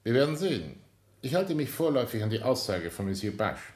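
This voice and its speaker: An actor playing a composed, relentless police inspector in a radio drama, speaking formally and insistently. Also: male voice, mid-range voice, big pitch swings, fairly quick, a little mellow, close to an everyday voice. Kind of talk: German radio drama acting.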